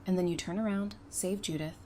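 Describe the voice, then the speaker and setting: A woman acting a frank, dry-witted guard with a quietly caring side in an audio drama, speaking directly. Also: fairly low pitch, steady tone, fairly quick, natural voice. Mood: probing, concerned. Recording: faint background noise.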